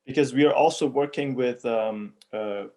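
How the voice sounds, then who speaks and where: An athletics program coordinator, a man, talking on a video call in a polite, halting way as he gives students advice. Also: medium pitch, a little mellow. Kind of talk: casual panel chat.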